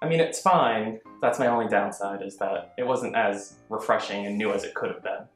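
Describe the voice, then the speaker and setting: A man's voice: fairly low pitch, moderate pace. Male co-host for a casual review panel, recorded with room echo and faint music.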